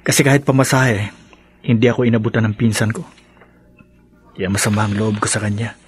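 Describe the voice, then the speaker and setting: A man's voice: on the low side, steady tone, brisk, breathy edge. An actor playing a weary, poor middle-aged father in a radio drama, plaintive and bitter but loving.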